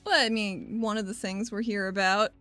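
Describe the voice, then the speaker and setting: A woman's voice: medium pitch, fairly even intonation, normal speed, bright, forceful tone, no echo, soft background music. A woman playing a tabletop game, speaking in casual role-play and table chat with a polite, tentative manner.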